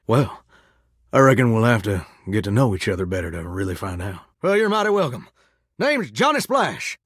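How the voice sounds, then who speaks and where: A man's voice: mid-range voice, fairly bright tone, dry recording, clean studio sound. A man playing a folksy, flirtatious talking shower in a video game, with a theatrical Southern US drawl and a wistful streak. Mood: flirty, hospitable. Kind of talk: video game character acting.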